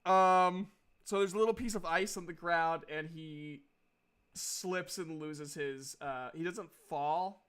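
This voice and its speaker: A man with a smooth, clear voice sitting slightly high for a male. He runs a tabletop game as game master, talking casually through turns and rules. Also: slow pace, a bit bright.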